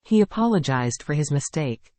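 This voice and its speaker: A speaker reading short example sentences for an English lesson in a clear, measured way. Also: mid-range voice, animated, a little mellow, no room sound. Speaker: English teacher.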